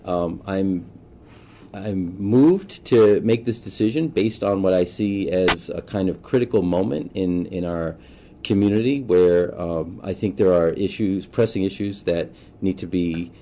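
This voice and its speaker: A man in his forties from Boston giving thoughtful, halting answers as a political candidate in a conversational interview. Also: low voice, dark tone. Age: 40s. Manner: halting, thoughtful, often pausing mid-sentence. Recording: faint background noise, room ambience.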